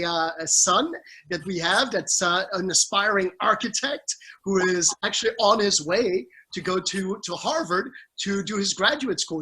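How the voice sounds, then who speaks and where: A middle-aged man from the Caribbean with a high, bright voice and a husky edge, answering questions over a video call. He talks earnestly, restarting sentences and leaning on fillers as he explains his work. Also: low-quality recording. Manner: earnest, warm toward family, speaks with restarts and fillers. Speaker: family law judge.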